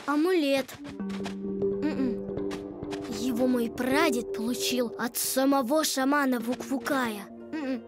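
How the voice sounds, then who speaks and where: A woman's voice: high voice, slow pace, pauses often. A woman voicing a good-natured, earnest young boy from the Arctic tundra in a cartoon. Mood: proud, admiring. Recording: music behind the voice.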